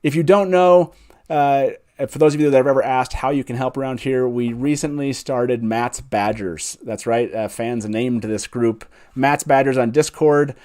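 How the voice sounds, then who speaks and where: A man's voice: medium pitch, moderate pace, darkish tone. Chatty, enthusiastic man hosting a show. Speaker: show host. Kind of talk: casual livestream hosting.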